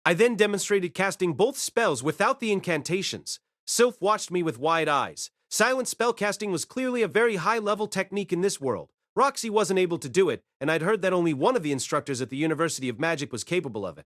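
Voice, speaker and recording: A dry, close recording of a man as a young mage telling his own story. His voice sits fairly high, with a wry, conversational way of explaining things in an audiobook drama. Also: animated, fairly quick, hardly any pauses, a bit bright, dry recording. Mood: wry narration. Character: young boy mage narrating his reincarnated life, wry and self-deprecating. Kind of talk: first-person audiobook narration with acted dialogue.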